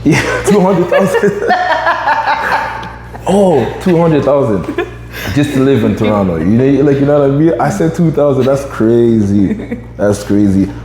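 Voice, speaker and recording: A man's voice: medium pitch, very animated, unhurried, dark tone, echoey room, long reverb tail, a little hiss or hum. A man in his twenties hosting a podcast, chatting casually and rambling with lots of fillers. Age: 20s. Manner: rambling, many fillers like "you know what I mean". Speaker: podcast host.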